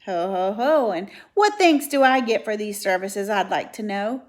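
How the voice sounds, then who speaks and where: A woman's voice: mid-range voice, expressive, normal speed, darkish tone, lightly acted. A woman voicing a lazy, selfish male rat who grumbles and mocks sarcastically. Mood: sarcastic.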